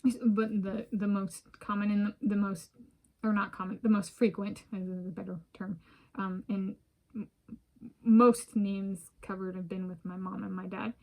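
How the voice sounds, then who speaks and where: Woman, 30s, American, casual solo vlogger; halting, rambling delivery at a medium pitch. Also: level tone, darkish tone, poor audio. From United States. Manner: halting, rambling, repeats and circles back. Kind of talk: casual personal vlog monologue.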